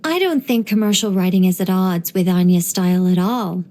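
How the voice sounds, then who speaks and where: A woman voicing a gentle, wise young angelic woman in game voice lines, soft and wryly musing. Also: fairly low pitch, normal speed, natural voice.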